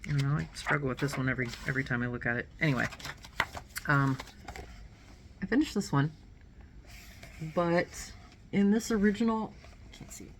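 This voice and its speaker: Crafter woman, thirties; low, gravelly, cold-nasal voice; rambling home vlog with faint room hum. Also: moderate pace, long pauses. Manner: rambling and self-correcting, with light laughs. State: nasal, getting over a cold. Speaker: cross stitch vlogger. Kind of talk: casual chat to camera. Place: small indoor room at home.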